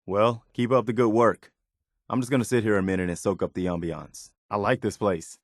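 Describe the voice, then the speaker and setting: A principled young soldier man, a loyal video game companion. His voice sits fairly low and sounds dry and close. He talks in a sincere, plainspoken, slightly weary way, like everyday conversation. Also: fairly even intonation, normal speed, dry recording. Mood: easygoing.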